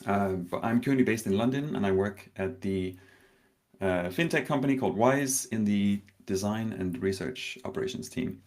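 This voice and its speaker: A man from Denmark, in his thirties, giving a live online conference talk, telling a story slowly with pauses and a touch of nerves. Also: fairly low pitch. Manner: warm storytelling with pauses, slightly nervous. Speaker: conference speaker.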